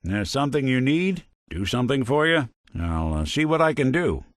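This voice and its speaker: Game role: weary middle-aged male android gumshoe, acted by a man, laconic noir wit, lively intonation, dry studio sound. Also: mid-range voice, normal speed, dry recording, close to an everyday voice. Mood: easygoing, ready. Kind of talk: video game voice acting, noir detective.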